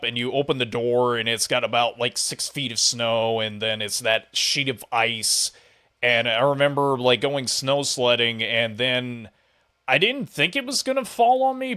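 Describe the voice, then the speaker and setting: Bright-voiced man hosting a casual podcast. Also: medium pitch. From United States. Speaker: podcast host. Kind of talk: casual chat, interview.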